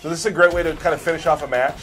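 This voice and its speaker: A man's voice: a little high, steady tone, very fast, fairly bright tone, faint music behind. A man doing casual gameplay commentary with teasing banter. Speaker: game stream host and commentator.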